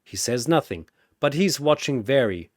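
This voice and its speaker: A man's voice: medium pitch, lively intonation, moderate pace, a little mellow, no room sound, studio quality. A man narrating a scripted battle story in a theatrical, suspenseful manner.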